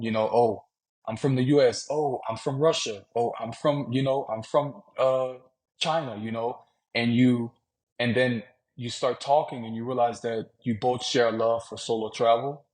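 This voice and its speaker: A man from Louisiana, a podcast guest, talking about travel in a relaxed, conversational way, often saying "man" and "you know". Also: mid-range voice, moderate pace. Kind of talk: casual interview talk.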